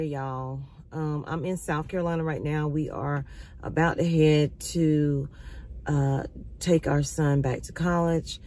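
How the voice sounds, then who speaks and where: A middle-aged woman vlogging from a parked car, her voice still slightly raspy as she recovers from illness, talking casually to her viewers and calling them y'all. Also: deep voice, warm tone. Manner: chatty, addresses viewers as y'all. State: recovering, occasional cough. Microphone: phone camera at arm's length.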